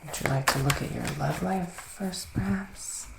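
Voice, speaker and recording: A man doing a whispered ASMR roleplay as a gentle, reassuring witch who gives psychic readings, soft-spoken and soothing with pauses. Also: on the high side, creaky, reverberant, heavy background noise. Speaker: ASMR performer playing a psychic reader.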